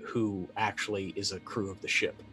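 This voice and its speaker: A man's voice: on the low side, flat delivery, moderate pace, pushed and bright, rough recording. A middle-aged man playing a tabletop roleplaying game, describing his character's actions casually, in a hesitant, thoughtful manner. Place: indoor room, video call. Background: music.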